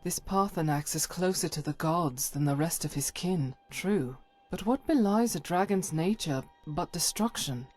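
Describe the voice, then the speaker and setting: A woman voicing a devout, principled warrior companion in a fantasy game, formal, measured and earnest. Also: fairly low pitch, darkish tone, soft background music, close to an everyday voice. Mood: thoughtful, uneasy. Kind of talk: scripted fantasy game dialogue.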